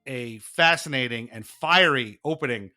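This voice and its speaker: A man's voice: medium pitch, normal speed, pushed and bright. A man hosting a podcast in a theatrical, announcer-like, mock-formal way, moving between banter and dramatic reading. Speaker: podcast host.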